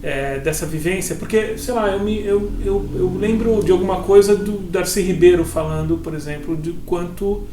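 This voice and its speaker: A man's voice: sits high, moderate pace, few pauses. A man interviewing a guest, asking long searching questions full of fillers and restarts. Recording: echoey room with faint noise.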